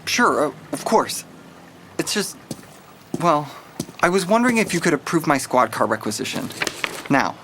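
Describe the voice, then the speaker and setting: Timid, stammering police rookie. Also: male voice, a little high, big pitch swings, normal speed, pauses often, background noise. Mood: nervous. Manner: hesitant, stammering, apologetic. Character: timid, nervous young male police rookie.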